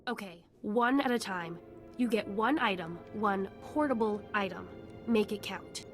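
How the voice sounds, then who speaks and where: A woman's voice: mid-range voice, measured pace, soft background music, natural voice. A woman playing a tough, protective, worn-down young refugee in a video game, blunt and guarded. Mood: firm.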